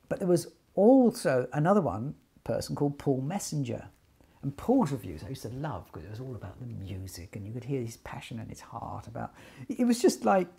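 An older man tells a story informally, acting out bits of dialogue as he goes. His voice is gravelly and soft-edged, with a husky grain and a middling pitch. He sometimes doubles back on his words. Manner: animated retelling with quoted dialogue, repeating words. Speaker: loudspeaker designer telling company story. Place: small attic sitting room, indoors.

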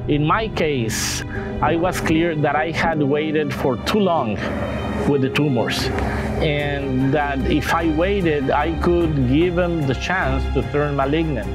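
Slow-speaking older Mexican man. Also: on the high side, loud music behind the voice. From northern Mexico. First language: Spanish. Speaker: patient. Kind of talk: documentary interview, recounting own story.

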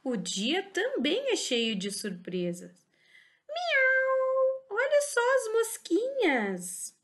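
A woman teacher giving a slow, animated storybook reading for small children, in a Brazilian Portuguese accent. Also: extremely high pitch. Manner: sing-song, exaggerated expressive reading. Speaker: teacher reading a picture book.

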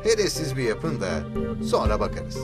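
An eager, opportunistic salesman from a children's cartoon , played by a man. His voice is mid-pitched and swings about expressively, quick to wheedle and plead. Loud music plays behind him. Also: sing-song, lightly acted. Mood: eager, sly. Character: wheedling male umbrella shop salesman, opportunistic, easily alarmed. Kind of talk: children's educational cartoon dub.

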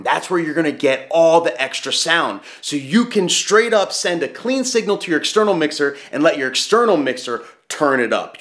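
A man in his thirties, a DJ, talking straight to camera in a small home room, loud and projecting, animated and gesturing as he explains. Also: fairly high pitch. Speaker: DJ giving tips. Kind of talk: energetic direct-to-camera explainer.

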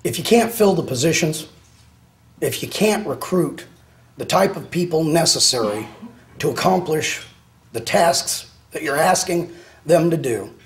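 Husky guy talking slow in a news clip, a bit high pitched, lots of pauses. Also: expressive. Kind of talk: interview soundbites.

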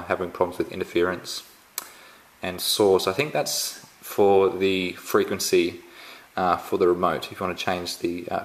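Low-voiced Australian man unboxing gadgets. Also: a bit bright. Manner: halting, many ums and restarts. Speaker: tech reviewer. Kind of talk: casual unboxing walkthrough. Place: indoors at home, filming the box up close.

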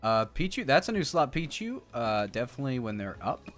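Animated man bantering over a video game. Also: medium pitch, a little fast. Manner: playful, exclaiming, uses silly nicknames. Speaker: game streamer, co-host. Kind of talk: casual gameplay banter and commentary. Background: game music.

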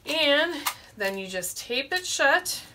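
A woman's voice: high voice, very animated, takes it slowly, background noise. A woman in her forties hosting a children's storytime, explaining a simple craft step by step from a small room. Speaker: librarian, storytime host.

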